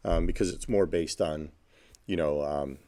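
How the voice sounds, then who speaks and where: Deep mellow-voiced podcast co-host. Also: male voice, unhurried, no room sound. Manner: agreeable, thoughtful. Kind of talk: casual podcast chat.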